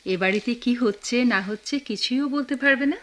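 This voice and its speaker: An actress with a Bangladeshi accent playing a tender, motherly married woman in a radio drama, soft-hearted and expressive. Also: on the high side, moderate pace, lightly acted. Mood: fond, approving. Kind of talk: Bengali radio drama acting.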